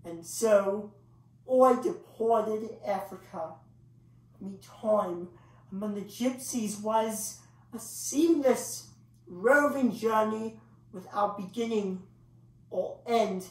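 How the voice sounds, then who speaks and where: A woman performing a dramatic monologue as a grandiloquent old English male clown, pausing for effect as he tells his bittersweet tale. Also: mid-range voice, a little mellow, natural voice. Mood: somber reminiscence. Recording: echoey room, distant microphone.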